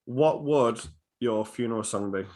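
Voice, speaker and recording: A man hosting a music podcast in casual chat, with a halting, hesitant but enthusiastic delivery. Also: mid-range voice, a little mellow.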